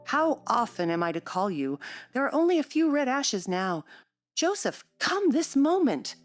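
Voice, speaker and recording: A woman's voice: on the low side, very animated, measured pace, faint music behind. A woman voicing a peevish, sickly teenage boy who whines and complains fretfully in an audiobook story. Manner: whining, complaining.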